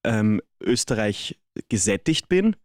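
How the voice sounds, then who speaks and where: A man, an actor chatting as a relaxed, joking radio guest with many small hesitations. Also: fairly low pitch, takes it slowly, no room sound, studio quality. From Vienna, Austria. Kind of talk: casual radio interview. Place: radio studio.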